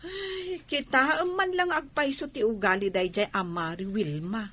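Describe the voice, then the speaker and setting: A woman's voice: on the high side, a little slow, bright, forceful tone. A woman playing a chatty married Ilocano village woman in a comedy radio drama, given to exclaiming and exaggerated reactions. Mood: conversational. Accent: Filipino, Ilocano.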